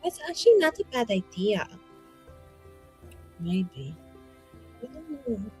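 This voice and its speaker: A woman playing a tabletop roleplaying game, chatting casually and describing her character's actions in a playful, expressive way. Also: a little high, takes it slowly, husky, soft background music. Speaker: player.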